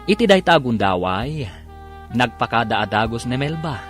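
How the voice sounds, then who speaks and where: Animated Filipino male drama narrator over music. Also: mid-range voice, moderate pace. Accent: Ilocano Filipino. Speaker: narrator and host of an Ilocano radio drama series. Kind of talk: dramatic scripted radio narration. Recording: loud music bed under the voice. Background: loud background music.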